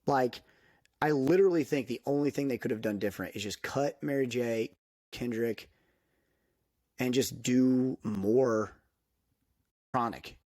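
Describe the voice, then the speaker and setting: A man's voice: medium pitch, measured pace, long pauses, mellow, no room sound, studio quality. A young man hosting a casual podcast chat, rambling with lots of fillers and restarts. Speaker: podcast host.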